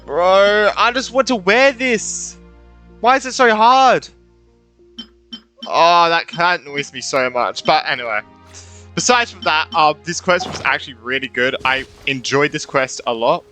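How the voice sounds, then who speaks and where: A young man commentates casually on a video game. His voice is bright, forceful and a little high, and he rambles and repeats himself informally. Faint music sits behind him. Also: animated, unhurried. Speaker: gaming YouTuber.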